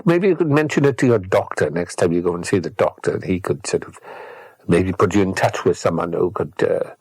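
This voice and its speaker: A man's voice: deep voice, animated, fairly quick, a little mellow, husky, no room sound, rough recording. A man hosting a comedy phone-in who talks hesitantly and politely, with dry asides. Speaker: radio phone-in host.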